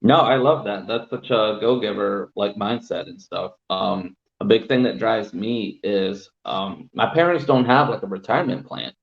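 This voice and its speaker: Lower-voiced young man from El Salvador. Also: lively intonation, moderate pace, vocal fry, hardly any pauses, echoey room, dull audio. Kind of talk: casual podcast chat.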